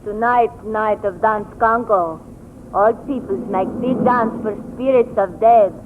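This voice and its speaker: An actress playing a stern, superstitious island servant who speaks terse broken English in a 1940s radio drama. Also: female voice, medium pitch, slow pace, natural voice. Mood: ominous. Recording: muffled, noisy 1940s radio recording.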